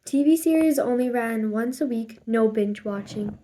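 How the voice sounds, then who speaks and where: A woman's voice: a little high, normal speed, dark tone. A woman reading a storybook aloud, narrating and acting out the dialogue and sound effects expressively.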